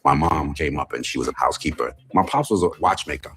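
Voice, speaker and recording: A man from Jamaica telling his life story in a documentary interview, relaxed, with many "you know"s. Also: a bass voice, fast talker. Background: music bed.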